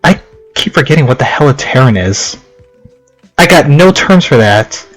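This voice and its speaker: A man's voice: medium pitch, lively intonation, moderate pace, poor audio. A man doing casual let's play commentary, reading game text aloud and rambling through puzzled reactions. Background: game music.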